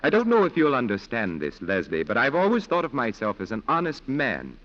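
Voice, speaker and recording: A man's voice: medium pitch, wildly swooping pitch, a little fast, fairly bright tone. An actor in a 1940s radio drama playing a principled, stern defense lawyer who is torn by his duty. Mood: grave, reluctant. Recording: muffled 1940s radio broadcast recording.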